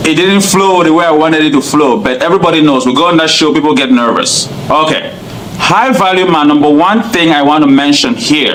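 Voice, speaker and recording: A man with a Cameroonian accent who speaks English as a second language, laying out his views point by point. His voice sits a bit high for a man. A faint noise runs under the recording. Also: a bit bright, rough recording. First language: not English. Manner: earnest, explains point by point. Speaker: guest. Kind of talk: interview answers.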